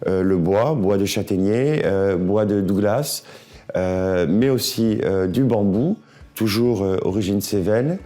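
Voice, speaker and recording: A man presenting a project as an architect, explaining in deliberate, halting phrases. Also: fairly low pitch, warm tone.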